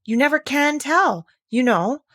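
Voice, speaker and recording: Storybook little girl, played by a woman: playful, mock-stern, animated chatter, lifted pitch. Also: measured pace, dry recording, studio quality. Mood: eager, chatty. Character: playful, chatty, imaginative little girl scolding her kitten. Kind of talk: storybook character voice.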